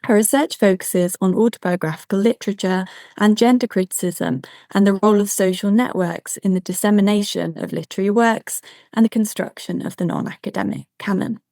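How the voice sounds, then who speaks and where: A woman with a gravelly, fry-edged voice at a middle pitch introduces the speakers at an online seminar. She reads their credentials politely and steadily. The sound is dry and close. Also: fairly quick, warm tone, no room sound.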